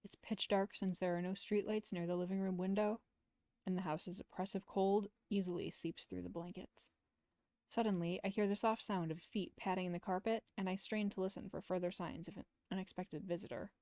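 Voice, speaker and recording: A woman's voice: mid-range voice, fairly even intonation, normal speed, dark tone, no echo. A woman streamer reading visual novel text aloud as narration, with casual asides, close to the mic.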